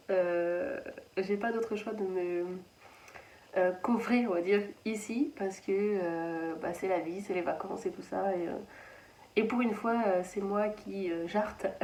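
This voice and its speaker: A woman's voice: mid-range voice, darkish tone, reverberant, distant mic, slight background noise. A woman from Anjou in France, hosting a casual knitting podcast from a children's playroom at home, chatty and rambling with many fillers and restarts. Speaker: knitting channel host.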